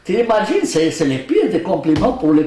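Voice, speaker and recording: Animated older man, Arabic native speaker. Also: sits high, fairly quick. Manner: animated, joking, laughs often, many hesitations. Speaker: Quran translator being interviewed. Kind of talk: casual interview conversation. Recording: roomy, mic at a distance.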